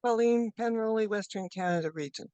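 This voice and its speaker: A woman's voice: on the high side, brisk, warm tone, clean tone, dry recording. A woman joining a video call, introducing herself in an upbeat, casual way. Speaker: webinar participant.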